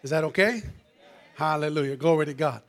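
A preacher man with a notably high voice delivers a fervent church sermon. His voice is going hoarse, and he peppers his lines with "amen" and "hallelujah" while calling out to the congregation. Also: a little fast. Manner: calls out to the congregation. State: losing his voice. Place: church sanctuary.

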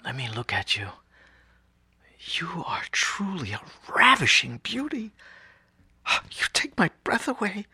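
An actor playing an excitable, smitten art museum director in a radio drama, gushing and breathy when enamored. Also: male voice, fairly high pitch, no echo, clean studio sound, heavily acted. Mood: enraptured.

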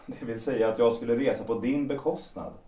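A man's voice: medium pitch, warm tone, lightly acted. A man playing a hesitant, evasive young lover. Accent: Swedish. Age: young adult. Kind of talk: Swedish audio drama acting. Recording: roomy, distant from the mic.

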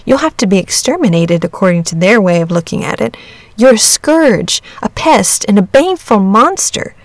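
A woman's voice: mid-range voice, animated, normal speed, no room sound, close to an everyday voice. A woman voicing a practical, frank young boy in a storybook, earnest and blunt but polite. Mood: exasperated, urgent. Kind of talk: storybook character reading.

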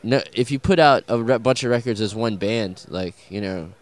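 A man with a Southern US accent, a musician answering interview questions in a loose, halting way, full of fillers and restarts. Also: lowish voice. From American South. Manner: rambling, halting, many fillers and restarts, swears casually.

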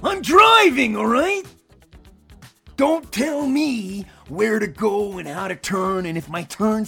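Man acting a cranky storyteller, high creaky voice, unhurried, chatty, comedy audio play. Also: fairly bright tone, full character voice. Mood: irritated. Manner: tacking little affirmations onto sentences. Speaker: narrator.

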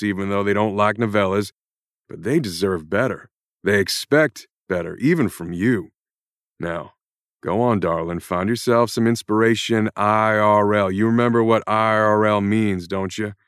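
A man voicing a gruff male action hero who rants and swears angrily at his author. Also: on the low side, no room sound, studio quality, pitched above the performer's own voice, lightly acted. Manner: ranting, swearing, scolding the author.

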